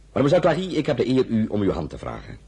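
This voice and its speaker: An actor playing a stiff, blunt general making an awkward formal proposal in a radio drama. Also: male voice, mid-range voice, wildly swooping pitch, very fast, gravelly, warm tone, breathy edge, full character voice.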